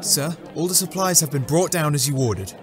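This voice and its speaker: An actor playing a dutiful soldier reporting in a fantasy audio drama. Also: male voice, a little high, lively intonation, a little fast, close to an everyday voice. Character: dutiful male soldier reporting to his superior. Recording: loud music under the voice.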